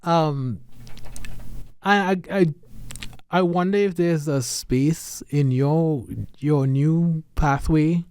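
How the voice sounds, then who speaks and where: A man's voice: fairly high pitch, a little mellow, no echo. A man with a Trinidadian accent talking in a podcast conversation, earnest and reflective, often checking in with "right?" and restarting his sentences.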